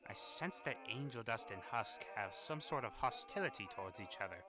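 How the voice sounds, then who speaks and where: A man's voice: medium pitch, animated, a little fast, crowd noise, close to an everyday voice. A man voicing a weary, irritable, sardonic demon hotel resident in a fan-made animation monologue, with grumbling, exasperated delivery. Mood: matter-of-fact. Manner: grumbling, exasperated, sighing asides. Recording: voice over old phonograph music.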